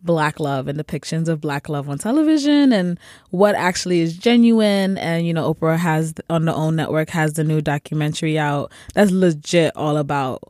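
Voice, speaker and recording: Chatty woman co-host, bubbly, laughs a lot, slightly low voice, dry close sound. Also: normal speed, a little mellow, dry recording. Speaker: podcast co-host. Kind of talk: casual chat.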